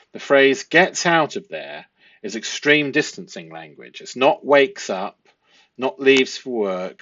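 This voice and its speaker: A man explaining a transcript analysis as a host, speaking deliberately and posing rhetorical questions. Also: medium pitch, fairly bright tone, dry recording, lo-fi sound. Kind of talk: explanatory analysis of a transcript, part read aloud.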